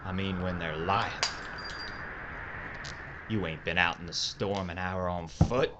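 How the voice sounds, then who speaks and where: An actor playing a wry Old West gunfighter in an audio drama, with a sardonic, deliberate manner. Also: male voice, low-pitched, steady tone. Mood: dry, threatening. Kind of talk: Western audio drama acting. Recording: heavy background noise.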